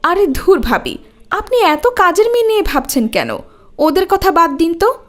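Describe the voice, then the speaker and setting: Woman playing vain cartoon housewife, envious, very high-pitched voice. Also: big pitch swings, normal speed. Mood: dismissive, boastful. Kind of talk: Bangla cartoon dubbing.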